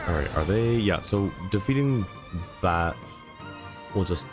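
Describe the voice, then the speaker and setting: A man with a deep voice gives casual commentary as he streams a game. His pitch moves around in a lively way, and game music is clearly audible behind him. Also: a little slow.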